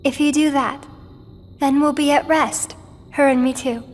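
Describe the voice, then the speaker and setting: A woman voicing a gentle, wistful teenage girl in a video game cutscene, speaking softly and earnestly. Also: high voice, fairly even intonation, unhurried. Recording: game audio over loud music. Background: music.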